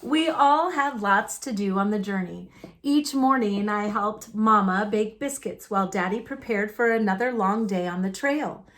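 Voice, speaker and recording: A woman reading a children's picture book aloud in an animated, folksy storytelling manner. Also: mid-range voice, moderate pace. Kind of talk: expressive read-aloud narration of a children's book.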